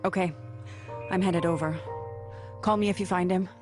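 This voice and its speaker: Caring, determined woman, lowish voice , acted. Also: fairly even intonation, moderate pace, music in the background, natural voice. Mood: concerned. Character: anxious, caring, determined woman seeking missing man. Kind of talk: conversational game cutscene dialogue.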